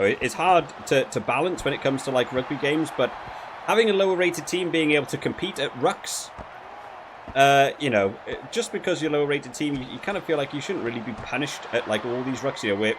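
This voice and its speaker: Male gaming creator, mid-pitched, casual rambling play-by-play, faint ambient noise. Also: animated, a little fast. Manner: rambling, thinking aloud with frequent hedging. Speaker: gaming video creator reviewing a rugby game. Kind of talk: casual gameplay commentary.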